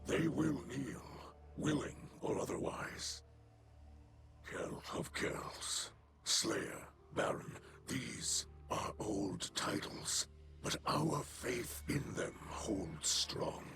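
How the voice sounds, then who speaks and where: A man voicing an ancient alien lord in a game, speaking in a hushed, whispery, formal and beseeching way. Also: medium pitch, vocal fry. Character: ancient male Eliksni kell, grave and pleading. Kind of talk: video game cutscene voice acting. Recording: game soundtrack behind voice.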